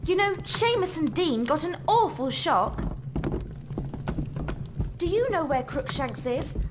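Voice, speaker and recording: A chatty, concerned young schoolgirl in a video game. Her voice is high, with clear ambient noise behind it. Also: very animated, takes it slowly, full character voice.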